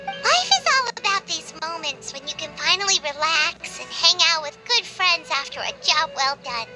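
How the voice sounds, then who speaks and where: A woman voicing a tiny, chirpy female fairy companion in a video game, with a high, squeaky, excitable voice. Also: normal speed, heavily acted, rough recording. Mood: content. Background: game music.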